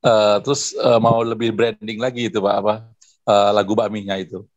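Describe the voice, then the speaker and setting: A man's voice: fairly low pitch, little pitch movement, fairly quick, dry recording. A man with an Indonesian accent, a noodle seller taking part in a video call, asking a question hesitantly and searching for words. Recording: dull, dry call audio.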